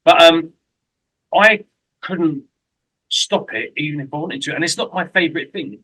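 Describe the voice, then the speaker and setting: A man with a London accent hosts a relaxed interview, chatty and quick to poke fun at himself. His voice sits a little high and rings bright and forward. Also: slightly rough, low-quality recording. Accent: London English. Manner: chatty and self-deprecating, quick asides. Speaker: host. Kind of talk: casual interview chat. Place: lives in Mexico.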